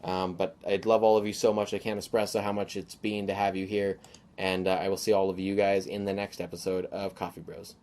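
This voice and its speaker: Slightly hoarse man rambling on a podcast. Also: deep voice, level tone, brisk, a little mellow, slight background noise. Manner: rambling, many fillers and restarts. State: slightly hoarse, sore throat. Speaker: podcast host. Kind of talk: casual solo podcast monologue.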